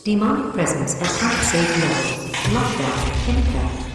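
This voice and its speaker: A woman's voice: on the low side, very animated, a little slow. A woman voicing an impersonal facility computer in a video game, making formal, clipped security announcements. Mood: urgent alert. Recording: long echoing reverb, loud music.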